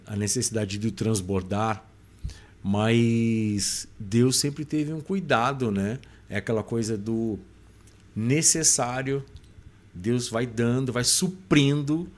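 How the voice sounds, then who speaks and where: A man with a Brazilian Portuguese accent hosting a live podcast, chatting informally and affectionately with listeners. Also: fairly low pitch. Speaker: podcast host. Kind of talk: casual live podcast chat, reading out chat greetings.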